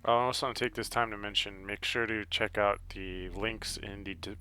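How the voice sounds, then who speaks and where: Male game guide narrator, low-pitched and monotone, halting casual explanations, music in the background. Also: clear, resonant tone. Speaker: video game walkthrough host. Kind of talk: casual game walkthrough commentary. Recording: game music and effects behind voice. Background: video game music.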